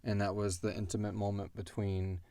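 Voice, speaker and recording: Low-voiced man, casual and dry-miked. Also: moderate pace, gravelly, no room sound. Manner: animated retelling, acting out characters' lines. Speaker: podcast host and audio drama creator. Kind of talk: casual chat answering listener questions.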